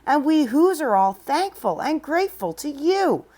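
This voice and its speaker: A woman's voice: high-pitched, sing-song, measured pace, dark tone, voice pushed up. A woman voicing a tiny, cheerful male mayor who speaks in a piping, grateful tone.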